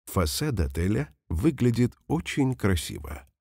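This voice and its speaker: A man reading example sentences slowly and carefully for language learners, saying each one twice. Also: medium pitch, sing-song, darkish tone, husky, dry recording, studio quality. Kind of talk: slow repeated phrase reading for learners.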